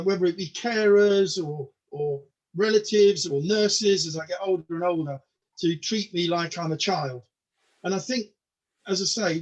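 A man's voice: high-pitched, muffled recording. A man in his mid-sixties from the UK whose first language is Polish, chatting casually as an interview guest in a self-deprecating way, often stammering over repeated words. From United Kingdom. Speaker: podcast guest, comedian.

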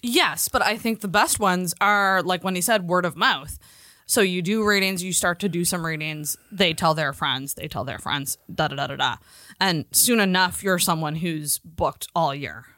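A woman with a bright, forceful voice talks as a podcast co-host. Her delivery is casual, emphatic and opinionated, with salty informal language. The sound is dry, with very little room around it. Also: on the low side, normal speed, no echo.

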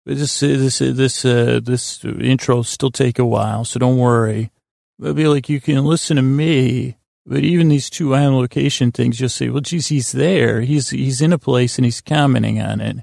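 A middle-aged man hosting a sleep podcast, rambling through a bedtime monologue in a halting, self-deprecating, dryly whimsical way with frequent pauses and unfinished tangents. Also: mid-range voice, fairly even intonation, creaky, a little mellow, dry recording, clean studio sound.

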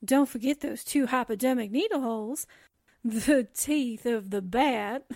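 A perky, loyal young assistant from a forties radio mystery, played by an actress. The voice is very high and cute. She takes her lines slowly and theatrically. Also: a little mellow. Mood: wry, dramatic. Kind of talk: 1940s radio drama acting.

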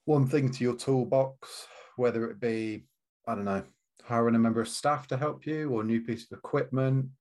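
A man's voice: mid-range voice, steady tone, moderate pace, darkish tone. A man of thirty hosting a chat podcast, laid-back, with casual swearing and tag questions. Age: 30s. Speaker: podcast host.

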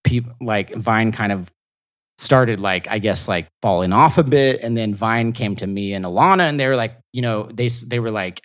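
A man's voice: fairly low pitch, moderate pace. A man, a podcast guest and comedian, telling stories in a loose, rambling way full of "like". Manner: rambling, lots of "like" fillers. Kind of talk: casual storytelling interview.

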